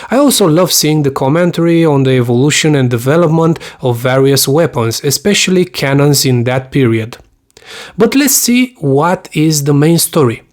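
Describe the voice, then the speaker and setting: A man's voice: fairly high pitch, expressive, moderate pace. A man from Romania with a Romanian accent, speaking English as a second language as he narrates a scripted review in an earnest, explanatory way. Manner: earnest, explanatory, slightly formal phrasing. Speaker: YouTube host, mythology teacher.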